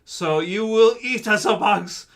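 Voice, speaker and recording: A man's voice: high-pitched, vocal fry, fairly bright tone, voice pushed up, full character voice. A man doing a sneering official, bungled mock German accent. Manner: sneering, halting broken English. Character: smug male official gloating about bug farms.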